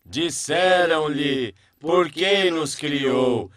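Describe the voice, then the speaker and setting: A Brazilian man playing a devout, defiant court magician in a dubbed cartoon, solemn and measured as he declaims. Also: on the high side, a bit bright, dry recording.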